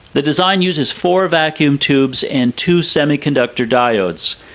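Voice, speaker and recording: A man presenting a scripted technical explainer in a measured, matter-of-fact way. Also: mid-range voice, fairly bright tone. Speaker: presenter of an electronics review.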